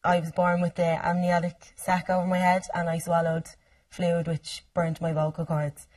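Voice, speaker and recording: Deep raspy Irish woman. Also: monotone, moderate pace, darkish tone, no echo. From Ireland. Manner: short replies, shy laughs. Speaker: singer guest. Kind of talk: casual radio interview. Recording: distant mic in a dry studio.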